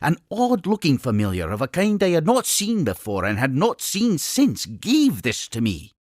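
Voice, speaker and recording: A man's voice: fairly high pitch, wildly swooping pitch, moderate pace, a little mellow, no room sound, clean studio sound. A man voicing an exuberant, eccentric Scottish explorer-inventor creature in a cartoon game, grandiose and effusive with old-fashioned phrasing. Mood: proud, showy. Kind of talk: theatrical cartoon game character dialogue.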